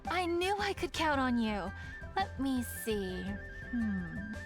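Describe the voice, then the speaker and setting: A woman's voice: a little high, sing-song, takes it slowly. A woman playing a diligent, friendly young festival organizer in a video game, polite and articulate. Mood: encouraging. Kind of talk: video game dub acting. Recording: music behind the voice.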